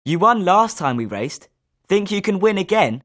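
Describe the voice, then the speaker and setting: A woman's voice: medium pitch, big pitch swings, moderate pace, close to an everyday voice. A woman with a British accent voicing a confident, sporting schoolboy wizard with a competitive streak in a video game. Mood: encouraging. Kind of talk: scripted video game character lines.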